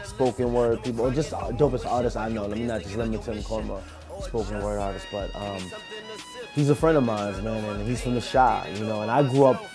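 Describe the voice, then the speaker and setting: A man's voice: lowish voice, fairly quick, over music. A young man, a rapper telling loose, rambling stories in a casual interview. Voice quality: smooth. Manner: loose, rambling, animated storytelling.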